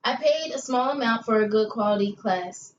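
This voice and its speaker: A woman's voice: fairly high pitch, moderate pace, close to an everyday voice. A young woman playing an earnest investigator guest in a scripted amateur interview. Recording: echoey, muffled room with hiss.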